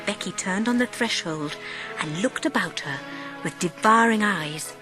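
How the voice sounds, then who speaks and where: A woman narrating a dramatised storybook as an audiobook, with expressive, measured phrasing. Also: medium pitch, music in the background, rough recording.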